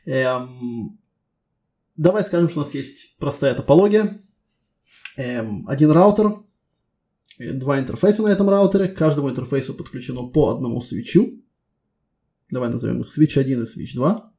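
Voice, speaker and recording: A man conducting a conversational technical interview, setting out his questions deliberately, step by step. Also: mid-range voice, dark tone.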